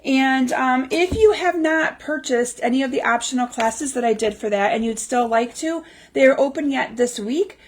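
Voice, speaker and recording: Slightly raspy woman, chatty live host. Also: high-pitched, moderate pace, hardly any pauses, slight background noise, poor audio. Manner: chatty, lots of ums and restarts. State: slightly raspy from a lingering cough. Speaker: crafting livestream host. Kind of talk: casual live-stream chat. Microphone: livestream mic.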